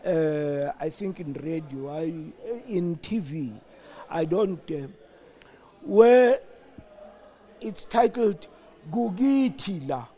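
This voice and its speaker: An older man with a South African accent in a reflective political discussion, deliberate and halting, with emphatic stresses. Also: on the high side, animated, mellow. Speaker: interview guest.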